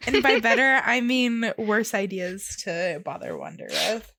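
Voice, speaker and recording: Higher-voiced woman, joking casually. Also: animated, a little slow, bright, forceful tone, no room sound. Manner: joking, teasing. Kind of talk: casual chat.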